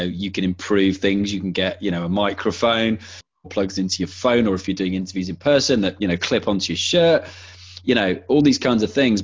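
A man's voice: deep voice, slightly rough, lo-fi sound. A man talking casually as a podcast guest, enthusiastic and conversational, restarting his sentences as he goes.

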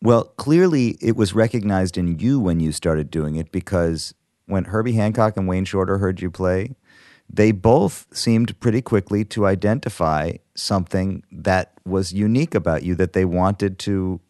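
A man with a very low voice interviews a guest for a podcast. He speaks reflectively, restating ideas in his own words. The sound is dry and close. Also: moderate pace, a little mellow, no room sound. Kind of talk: thoughtful interview conversation.

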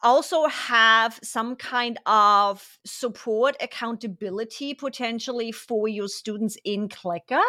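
A woman with a German accent hosts a relaxed business podcast interview. Her voice is bright and clear, and she talks in a chatty, curious way with quick asides. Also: fairly high pitch. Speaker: podcast host. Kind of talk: casual interview chat.

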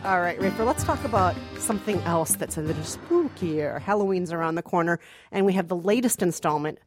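A woman co-hosting a film review podcast, measured and articulate in casual conversation. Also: fairly low pitch, animated, over music.

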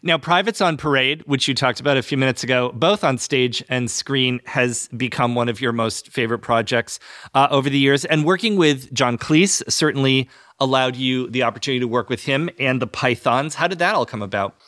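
Male interviewer with a mid-pitched voice. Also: fairly quick, a bit bright. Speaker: interviewer, host. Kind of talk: prepared interview questions.

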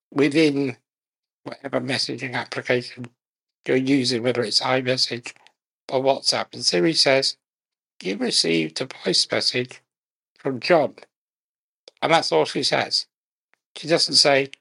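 A man speaking as a guest in an interview, measured and unhurried, speaking in short phrases with pauses. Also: medium pitch, vocal fry, bright, forceful tone, breathy edge, rough recording. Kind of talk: conversational interview.

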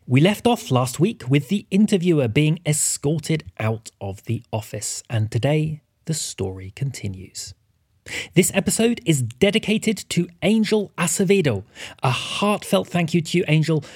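Male podcast announcer, scripted read. Higher-pitched, lively intonation, husky, dry studio sound. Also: no room sound. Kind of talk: scripted narration.

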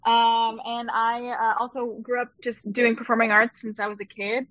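A woman from New Jersey who speaks as a podcast guest in a slightly high voice. She talks in a relaxed, playful way. The sound is dry and close but dull and lo-fi. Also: moderate pace, no echo. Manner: relaxed, playful, filler-heavy. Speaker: actress and producer guest. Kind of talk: casual interview chat. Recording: dull, lo-fi audio.

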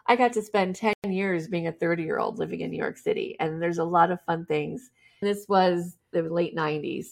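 A woman's voice: fairly low pitch, gravelly. A woman guest candidly telling her life story in a casual interview, often laughing as she talks.

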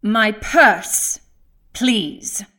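A woman's voice: medium pitch, lively intonation, very slow, pushed and bright, slight background noise, exaggerated voice. An actress playing a fretful, genteel Victorian lady in a comedic audio drama, theatrical and polite. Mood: puzzled.

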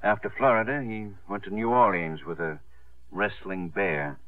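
Coming over a tinny vintage radio, a kindly father figure played by a man speaks in a low voice. His measured delivery rises and falls expressively, in 1950s radio-drama style. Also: animated, slight background noise, natural voice. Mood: grave, advising. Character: gentle, devoted older father, a musician.

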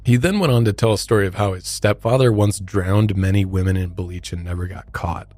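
A man with a low-pitched voice, recorded close and dry with hardly any room sound. He talks as a podcast host, part reading out an account and part chatting it through. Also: brisk, a little mellow, no echo. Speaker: true crime podcast host. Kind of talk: recounting a case, part scripted narration, part casual discussion.

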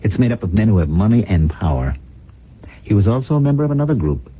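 An actor in a radio drama playing an ambitious, middle-aged rich idler who talks with brooding, emphatic intensity. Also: male voice, lowish voice, sing-song, fast talker, husky. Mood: driven, grandiose. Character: ambitious, restless rich idler hungry for power. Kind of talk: 1970s radio drama acting. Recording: muffled, phone-like old radio broadcast.

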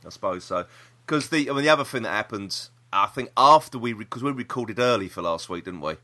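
A British man with a bright, lively voice hosts a casual podcast. His pitch moves around a lot as he rambles through the news and gives his opinions freely. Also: fairly quick. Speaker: podcast host. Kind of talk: casual chat about TV news.

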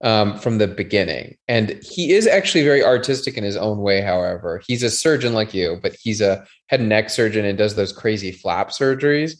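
A man in his thirties from Canada, a doctor and TV writer, talking as a podcast guest in a measured way, full of qualifiers. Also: lowish voice, lively intonation. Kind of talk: casual interview chat.

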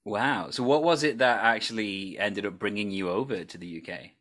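A man's voice: fairly low pitch, normal speed, a bit bright. A man hosting a podcast, interviewing a guest in a friendly, chatty way. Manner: friendly, reads promos then chats. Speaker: podcast host.

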